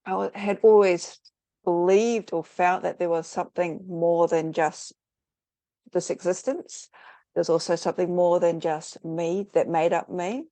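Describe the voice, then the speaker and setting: A middle-aged woman speaks as an online interview guest. Her voice sits low and mellow, and the sound is dry and close. She wanders thoughtfully through her answers, full of fillers and false starts. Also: steady tone, unhurried, no room sound. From New Zealand. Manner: rambling, thoughtful, many "sort of" fillers and restarts. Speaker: interview guest, spiritual teacher.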